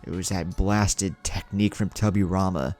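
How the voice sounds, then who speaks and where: A man voicing a proud, suspicious, hot-tempered male ninja clansman with a gruff manner in a narrated story. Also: low voice, steady tone, moderate pace, darkish tone, soft background music, close to an everyday voice. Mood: irritated. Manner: gruff, snarling. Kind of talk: character dialogue in narrated story.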